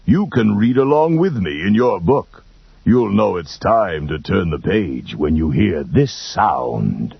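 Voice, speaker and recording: A man's voice: low-pitched, sing-song, slow pace, gravelly. A man narrating a children's adventure storybook in a dramatic, scripted style. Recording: dull lo-fi tape with music behind.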